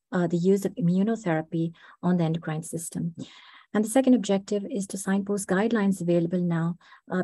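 Hoarse woman lecturing over a video call, dark mellow tone, dry sound, lots of uh's. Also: medium pitch, no room sound. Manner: measured, methodical, frequent "uh" fillers. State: hoarse, recovering from flu. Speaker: clinical research fellow presenting a case. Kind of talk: online medical lecture.

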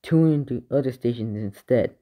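Male amateur alert announcer, medium pitch, formal bulletin wording with a stumbling, self-correcting delivery. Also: normal speed, warm tone. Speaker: narrator of fictional emergency alerts. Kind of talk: improvised mock emergency broadcast announcement.

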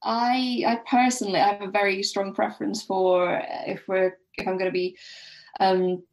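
A woman's voice: mid-range voice, flat delivery, moderate pace, poor audio. A woman guest on a podcast discussing a book casually, thinking aloud in a hesitant way.

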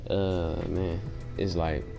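A tired young man with a gravelly voice, chatting casually to his viewers in laid-back slang while commenting on his gameplay. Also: low voice, little pitch movement, slow, mellow. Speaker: gaming channel host. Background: game music.

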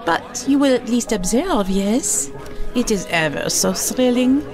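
An actress playing a wealthy, imperious art collector with a French accent, formal and grandly poised in an audio drama. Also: female voice, a little high, animated, measured pace, over music, a crowd in the background. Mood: grand, haughty. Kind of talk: full-cast audio drama acting.

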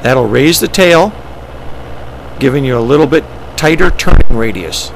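Male pilot-presenter, medium pitch, creaky voice, plain instructional delivery in short phrases. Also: normal speed, low-quality recording. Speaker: pilot presenting ski flying. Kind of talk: instructional narration, explaining step by step. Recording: steady engine and vehicle noise.

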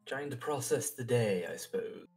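A man's voice: mid-range voice, lively intonation, moderate pace, a little mellow, faint music behind, natural voice. A man playing a troubled, guarded, solemn adventurer in an improvised fantasy audio drama, speaking hesitantly and formally. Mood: brooding.

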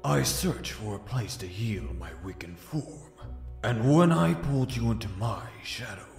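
A man voicing a sinister, gloating dark hedgehog villain in a comic dub, with a gravelly, menacing, theatrical delivery. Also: medium pitch, animated, measured pace. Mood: smug menace. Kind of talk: scripted fan comic dub. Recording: echoey voice over background music.

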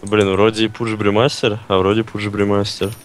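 A man's voice: lowish voice, little pitch movement, moderate pace, slight background noise. A young man on voice chat making laid-back, offhand remarks during a game. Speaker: player on voice chat. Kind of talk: casual gaming chat.

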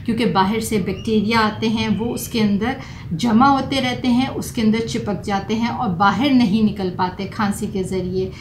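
A woman's voice: medium pitch, fairly even intonation, normal speed. A middle-aged woman, a doctor explaining home remedies to camera and mixing in English terms. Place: small furnished room indoors. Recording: roomy echo, faint background noise.

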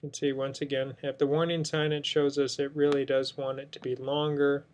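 A man's voice: medium pitch, level tone, a little fast, a little mellow, slight background noise. A man narrating an unscripted screen tutorial as an instructor, explaining things step by step. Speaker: software instructor. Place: indoors, screen recording voice-over.

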